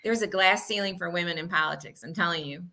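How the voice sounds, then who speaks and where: A woman in her sixties, a guest in a casual chat, telling stories in a lively way with acted-out quotes. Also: lowish voice, quick pace. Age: 60s. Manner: lively, animated storytelling with put-on quotes.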